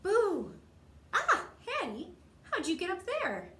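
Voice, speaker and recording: A woman voicing excitable, playful young girl hikers from a picture book, in exclamations. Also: very high-pitched, slow pace, voice pushed up, heavily acted. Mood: excited, playful. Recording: roomy echo, faint background noise.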